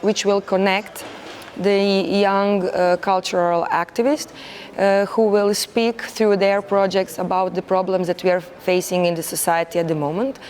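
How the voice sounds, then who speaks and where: A woman's voice: medium pitch, level tone, measured pace, darkish tone. Woman, from Croatia, volunteer, interview talk, faint noise. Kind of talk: documentary interview.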